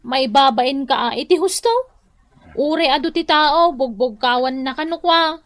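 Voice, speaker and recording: A woman's voice: high voice, unhurried, no room sound. A woman with an Ilocano accent playing a chatty, gossiping street vendor in a radio drama.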